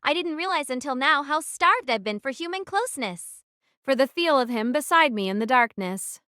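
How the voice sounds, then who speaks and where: A woman's voice: high-pitched, animated, moderate pace, bright, forceful tone, dry recording, studio quality. A woman playing a defiant, brooding teenage girl who narrates her own story in an audio drama. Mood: tender, reflective. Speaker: narrator and lead actress.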